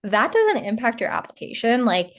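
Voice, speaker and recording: A woman co-presenting on an informational call, explaining and clarifying informally in halting, conversational phrases. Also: on the high side, very animated. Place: online group call.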